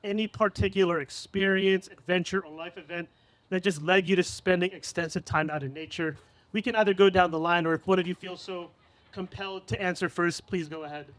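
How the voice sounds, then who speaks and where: A man's voice: sits high, fairly even intonation, a little fast, a bit bright. A man hosting a live podcast panel at a cafe event, upbeat and hyping up his guests. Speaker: podcast host.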